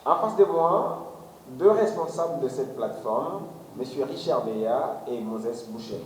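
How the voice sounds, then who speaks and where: A man hosting a formal broadcast interview from Congo, asking measured questions. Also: medium pitch, lively intonation, darkish tone, slight background noise. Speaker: TV interview host. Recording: echoey room, mic at a distance.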